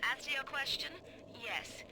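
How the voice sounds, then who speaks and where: A woman's voice: sits high, a little slow, gravelly. An actress playing a crusty, no-nonsense woman beekeeper, sarcastic and exasperated in a game audio diary. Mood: exasperated scolding. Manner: tart, exasperated. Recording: noisy old radio-like audio diary.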